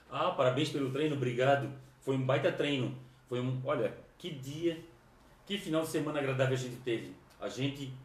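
A man's voice: mid-range voice, darkish tone. Echoey, informal southern Brazilian man. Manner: informal, rambling, repeats phrases. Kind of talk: casual livestream chat, greeting viewers. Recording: echoey room, distant microphone.

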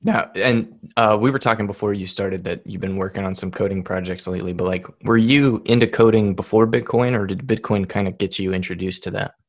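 A man's voice: on the low side, fairly even intonation, a little fast, few pauses. A man hosting a podcast, talking to his guest in a loose, upbeat, chatty way. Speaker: podcast host. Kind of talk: casual interview talk.

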